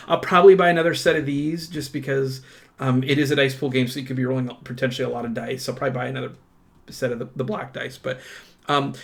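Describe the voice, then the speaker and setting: A man with a mid-pitched voice talks casually as he presents an unboxing indoors. His delivery is enthusiastic and informal, a little halting, with thoughts that trail off and pick up again. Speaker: host of a tabletop game unboxing channel. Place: indoors at a tabletop.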